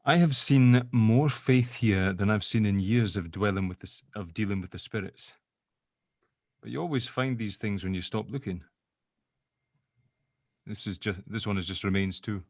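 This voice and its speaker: A man's voice: lowish voice, moderate pace, long pauses, no room sound. Sardonic sceptic, dry close sound, man acting. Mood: musing. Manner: sardonic, bantering, informal. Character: sardonic, sceptical spirit-dealing grave opener, gently joking. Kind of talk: audio drama table read.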